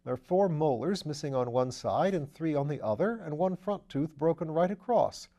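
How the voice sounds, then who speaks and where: Brief: man voicing a raised, warm-toned posh amateur sleuth, brisk and chatty, storybook reading. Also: a little high, animated, voice pushed up. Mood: breezy. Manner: chatty, upper-class slang, brisk and eager. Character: breezy aristocratic English gentleman sleuth, man. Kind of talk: audiobook character voice.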